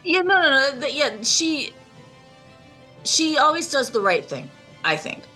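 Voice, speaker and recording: Emphatic woman with lively, swinging pitch. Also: measured pace, faint music behind, rough recording. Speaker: panelist in a TV series discussion. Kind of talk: casual opinionated discussion.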